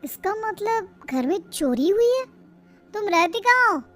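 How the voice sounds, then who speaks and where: A woman's voice: extremely high pitch, very animated, unhurried, a bit bright, faint music behind, pitched above the performer's own voice, exaggerated voice. A woman voicing an accusing young husband, demanding and scolding, in a cartoon story. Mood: alarmed, accusing. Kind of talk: animated moral-story acting.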